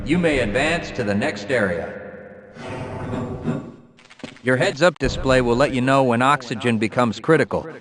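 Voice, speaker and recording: A man playing a formal, measured training course announcer giving instructions in a video game. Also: medium pitch, fairly bright tone, lightly acted. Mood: formal, instructive. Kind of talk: scripted game tutorial narration.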